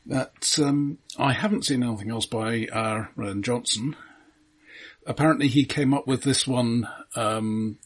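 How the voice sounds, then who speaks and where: A man's voice: mid-range voice, a little slow, husky. A man co-hosting a podcast, discussing films in a casual, conversational way. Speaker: podcast co-host. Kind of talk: casual film review chat.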